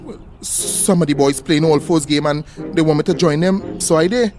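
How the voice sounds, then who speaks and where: A man's voice: on the high side, normal speed, close to an everyday voice. A man acting in a radio play as a shy, flustered young man with a Trinidadian accent and a hesitant, stammering way of talking. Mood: uneasy, reluctant. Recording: radio play with faint music behind.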